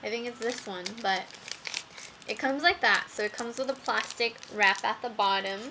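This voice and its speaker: A young woman reviewing makeup brushes in a casual vlog, talking slowly with many ums while her voice wears out. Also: fairly high pitch, background noise. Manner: slow, with many ums and fillers. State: losing her voice. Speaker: beauty vlogger.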